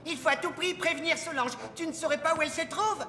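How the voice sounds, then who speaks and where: A woman voicing a fussy, excitable little Christmas elf in a children's cartoon, with a bossy manner. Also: high-pitched, fast talker, slight background noise. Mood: indignant. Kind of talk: French children's cartoon dubbing.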